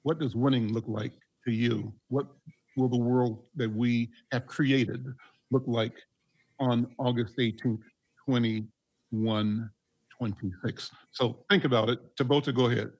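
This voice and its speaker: Man, gravelly voice, host, community call, halting with fillers. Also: medium pitch, fairly even intonation, darkish tone, low-quality recording. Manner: halting, many fillers and restarts.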